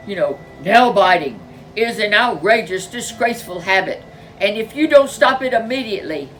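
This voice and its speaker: Woman putting on a loud, blustery papa bear. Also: medium pitch, animated, normal speed, recorded from a distance, slight background noise, voice pushed up, natural voice, rough recording. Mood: blustering indignation. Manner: emphatic, blustery, stammering.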